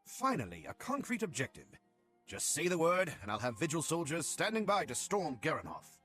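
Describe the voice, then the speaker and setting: Gruff impatient soldier in a fantasy game, blunt, really animated pitch, bit of music under it. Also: male voice, moderate pace. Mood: eager, commanding. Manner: forceful, blunt, declamatory. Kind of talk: video game voice acting.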